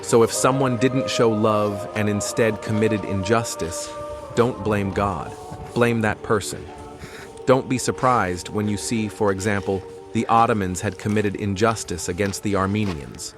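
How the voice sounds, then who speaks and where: A man whose first language is Persian, narrating a travel vlog in an earnest, prayerful, unhurried way. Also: lowish voice, steady tone. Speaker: travel vlogger. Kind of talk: reflective voice-over narration. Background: music.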